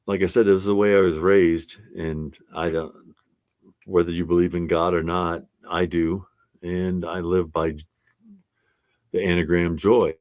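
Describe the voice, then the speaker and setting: Slow, deep-voiced older man instructor. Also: flat delivery, darkish tone. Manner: earnest, plainspoken and encouraging. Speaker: art resilience instructor. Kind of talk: casual encouraging class talk.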